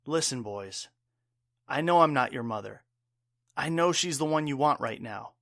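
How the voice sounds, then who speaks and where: A gruff but caring bartender dad, played by a man, in an audio drama. He is plain-spoken and wry, recorded dry and close. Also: mid-range voice, lively intonation, normal speed, fairly bright tone, no room sound. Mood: tender, worried. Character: gruff, caring bartender father and former army medic.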